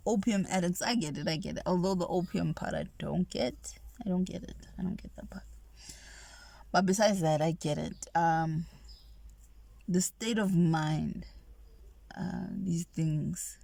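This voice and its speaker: A woman with a nasal voice talks in a slow, rambling ASMR manner, often sinking into whispers. Her tone is low with a lot of vocal fry. The recording is close and dry. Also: no echo. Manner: soft-spoken, drifting into whispers; rambling, restarting sentences. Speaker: ASMR creator.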